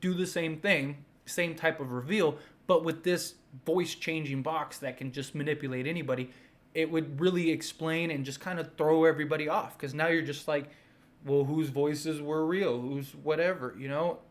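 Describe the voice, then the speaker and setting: Guy doing an off the cuff fan video, kinda high voice, really into it, emphatic and rambly. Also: steady tone, normal speed. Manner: enthusiastic, emphatic, repetitive rambling. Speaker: fan commentator, video host. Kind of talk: casual unscripted opinion commentary.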